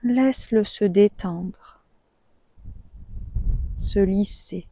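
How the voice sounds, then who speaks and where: Woman, meditation guide, very slow delivery, mellow dark timbre, calm and soothing, long pauses. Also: mid-range voice, low-quality recording. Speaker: yoga nidra guide.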